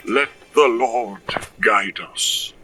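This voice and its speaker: A man playing a solemn village reverend in an audio drama, preaching and reciting scripture in a measured way. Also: medium pitch, pushed and bright, distant mic, faint music behind. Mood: calm, reassuring.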